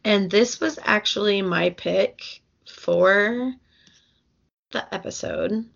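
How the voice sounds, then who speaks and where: A woman's voice: medium pitch, slow pace, vocal fry, highs cut off. A young adult woman co-hosting a casual book podcast, rambling with fillers and restarts as she loses her voice. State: losing her voice. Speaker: podcast co-host.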